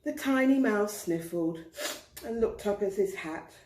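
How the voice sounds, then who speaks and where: Animated woman storyteller in echoey room. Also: medium pitch, a little slow. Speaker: storyteller for young children. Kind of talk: expressive children's story reading. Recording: roomy echo.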